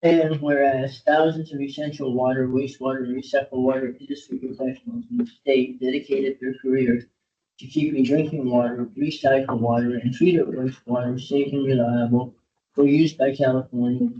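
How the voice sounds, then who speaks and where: Mellow-voiced man chairing a board meeting. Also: moderate pace, far from the mic. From Cuba. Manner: hesitant, many filler sounds. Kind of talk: public board meeting, reading a proclamation. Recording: dull low-fidelity meeting audio.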